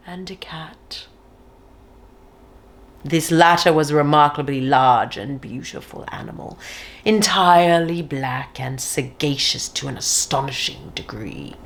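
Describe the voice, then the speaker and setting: Low-voiced woman reading a horror story. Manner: slow, deliberate, with dramatic drops to a near whisper. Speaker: reader of a classic horror story. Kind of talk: dramatic audiobook narration.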